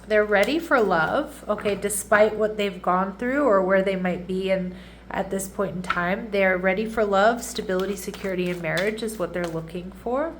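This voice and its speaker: A woman's voice: medium pitch, moderate pace, a little hiss or hum. A woman giving a casual tarot reading who sounds congested and nasal, chatting and often checking in with her audience. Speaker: tarot reader.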